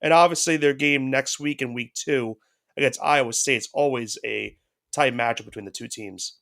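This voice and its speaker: A man's voice: mid-range voice, moderate pace, creaky, a bit bright. A man co-hosting a sports podcast with a head cold, talking casually and loosely, with frequent restarts. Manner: rambling, with restarts and hedges. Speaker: podcast co-host.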